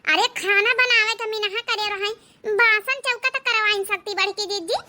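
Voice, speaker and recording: A woman's voice: very high voice, moderate pace, pushed and bright, full character voice. A woman voicing a lazy, flustered younger daughter-in-law who protests in Awadhi-accented comic cartoon dialogue. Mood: nervous.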